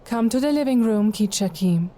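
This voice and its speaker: A woman playing a gentle, hesitant mother in an audio drama. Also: on the high side, lively intonation, normal speed, a little hiss or hum, close to an everyday voice.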